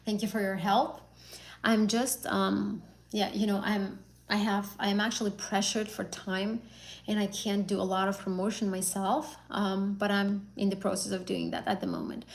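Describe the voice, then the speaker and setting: Young azerbaijani-born woman, gravelly, talks a lot and rephrases, tutor on a live stream. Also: medium pitch, moderate pace. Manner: chatty, repeats and rephrases, many fillers. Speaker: English exam tutor.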